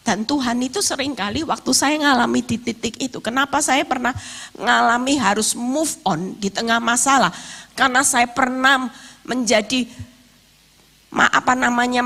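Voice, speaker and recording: A middle-aged woman with an East Javanese Indonesian accent preaching a sermon emphatically, her voice slightly hoarse. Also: high voice, bright, forceful tone. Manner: emphatic, with rhetorical questions and acted-out quotes. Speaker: evangelist, guest preacher.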